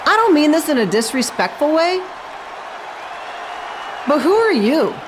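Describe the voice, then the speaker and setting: A woman's voice: high-pitched, very animated, measured pace, crowd noise, background noise. A woman playing a friendly, gracious veteran wrestling star in a video game cutscene. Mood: firm, conciliatory.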